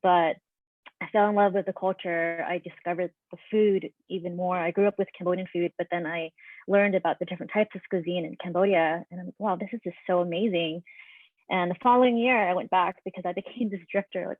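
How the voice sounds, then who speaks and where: A woman's voice: medium pitch, no echo. Earnest woman on a tinny call. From United States. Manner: reflective, earnest, frequent ums. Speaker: restaurant owner and chef. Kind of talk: panel discussion storytelling. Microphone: video call. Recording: dry, phone-like video call audio.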